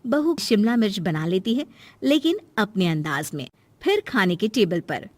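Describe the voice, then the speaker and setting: A woman's voice: medium pitch, sing-song, moderate pace, a little mellow, dry recording. Woman narrating a cartoon story.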